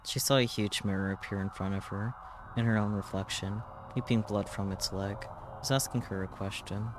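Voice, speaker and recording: A man's voice: lowish voice, fairly even intonation, normal speed, music in the background, rough recording. A man reading a visual novel aloud on a stream as dramatic story narration.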